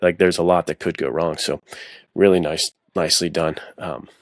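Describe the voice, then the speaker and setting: A man's voice: low-pitched, steady tone, normal speed, no room sound, studio quality. A man chatting casually on a podcast in an effusive, slangy way. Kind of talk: casual chat.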